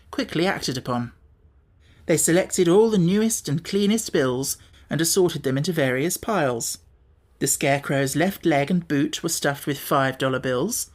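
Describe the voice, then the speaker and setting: A woman reading a classic children's fantasy book aloud, giving the lines clear storybook narration. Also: low voice. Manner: expressive reading with lively character voices. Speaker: audiobook narrator.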